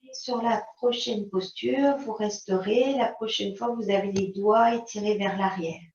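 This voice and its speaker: Slow-paced Frenchwoman guiding yoga, roomy sound. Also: mid-range voice, slight background noise. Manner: calm, unhurried, instructing in short phrases. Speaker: yoga teacher. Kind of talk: guided yoga and relaxation instruction. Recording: roomy, distant mic, dull sound.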